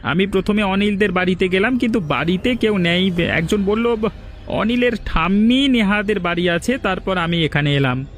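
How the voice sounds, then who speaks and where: A man's voice: high voice, normal speed, natural voice. A man playing a kind, modest and helpful villager in a cartoon drama, speaking politely and humbly.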